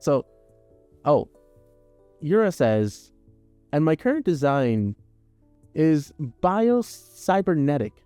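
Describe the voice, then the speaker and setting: A man's voice: fairly high pitch, mellow, clean tone, no echo. A young man chatting casually on a live stream while crafting, rambling, laughing and swearing as he reads chat aloud. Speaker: arts and crafts livestreamer.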